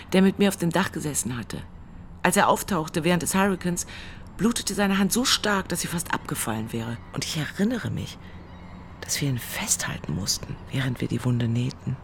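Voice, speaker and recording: Reflective storm survivor for radio play, halting delivery, slight ambient noise. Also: female voice, on the low side. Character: moved hurricane survivor recalling a reunion. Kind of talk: radio drama monologue.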